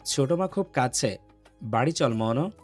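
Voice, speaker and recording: A man acts a brooding young man in love, protective and intense, in an audio romance. His mid-pitched delivery is cool and restrained, with faint music behind it. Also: expressive, moderate pace, breathy edge. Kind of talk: Bengali audio love story acting.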